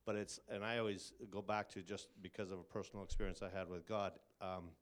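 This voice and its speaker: Lower-voiced man talking thoughtfully about faith. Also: level tone, a little fast. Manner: thoughtful, searching for words. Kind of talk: casual faith talk.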